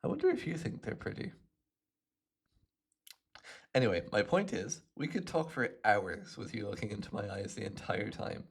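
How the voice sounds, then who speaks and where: A man plays a smug imprisoned supervillain in an audio roleplay, a chatty hypnotist with a gravelly, creaky edge to his voice. His pitch swings freely as he muses and teases, playful and in no hurry, speaking straight to the listener. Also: very animated, heavily acted. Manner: playful, smug, unhurried musing with little chuckles. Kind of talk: ASMR roleplay monologue addressed to the listener.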